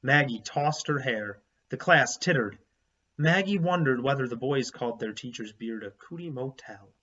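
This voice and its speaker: A man's voice: medium pitch, animated, normal speed, bright, forceful tone. A man reading a children's book aloud like a teacher, expressively and with explanatory asides. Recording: slightly dull, lo-fi sound.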